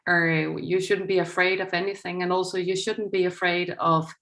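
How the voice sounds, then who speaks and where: A woman's voice: fairly low pitch, flat delivery, moderate pace, fairly bright tone. A middle-aged woman with a Danish accent, a non-native English speaker giving an earnest inaugural lecture to journalism students over a video call, partly reading from a script. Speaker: guest lecturer.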